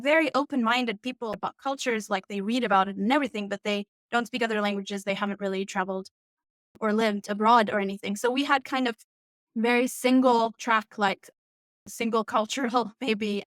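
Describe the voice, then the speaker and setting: A woman from Turkey whose first language is Turkish, a coach guest on a podcast, chatting casually and warmly with many fillers. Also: fairly high pitch, fairly even intonation, a little fast, no room sound. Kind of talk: casual interview chat.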